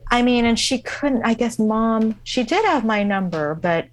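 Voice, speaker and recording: A woman guest musician, telling a personal story in an interview, speaking haltingly with many fillers and restarts. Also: on the high side, animated. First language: not English.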